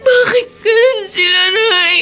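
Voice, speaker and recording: A grief-stricken young daughter in tears, played by a radio actress. Her voice is very high and bright, and she speaks slowly through her crying. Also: soft background music. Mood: sobbing. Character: young woman, grieving daughter. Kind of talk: radio drama acting.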